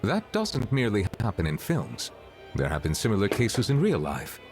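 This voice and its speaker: A man's voice: on the low side, wildly swooping pitch, moderate pace. A man playing a precise, formal, dryly intellectual associate in an anime game, with emphatic diction. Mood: matter-of-fact. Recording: game music behind the voice.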